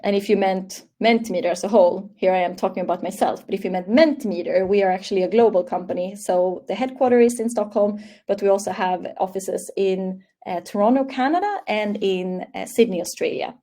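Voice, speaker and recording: Mellow-voiced Swedish woman presenting a webinar. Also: mid-range voice, brisk, few pauses. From Sweden. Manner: friendly, upbeat, reading out audience answers. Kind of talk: live online training webinar. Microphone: webcam video call.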